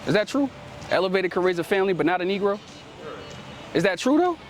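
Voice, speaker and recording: High-pitched street preacher guy talking fast outdoors, keeps saying right?, bossing the reader to read. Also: animated. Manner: ends almost every sentence with "right?"; commanding, calls on the reader to read. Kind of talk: street teaching. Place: outdoors in a city.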